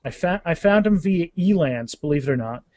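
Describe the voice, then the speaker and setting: A man's voice: on the high side, expressive, fairly quick, a little mellow, dry recording. A man chatting casually and enthusiastically as a guest author in a podcast interview.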